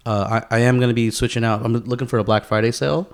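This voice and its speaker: A man's voice: mid-range voice, fairly even intonation, quick pace. A man hosting a podcast in casual chat, peppering his slangy, sometimes profane talk with bro, dude and man. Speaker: podcast host.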